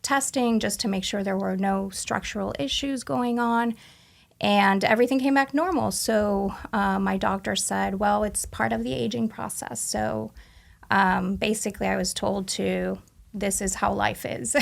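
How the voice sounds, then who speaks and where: A woman in her forties from Argentina, a native Spanish speaker, chatting casually as an interview guest and pausing often with ums and uhs. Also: mid-range voice, steady tone, vocal fry. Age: 40s. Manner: halting, lots of ums and uhs, light laughs. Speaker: guest, patient.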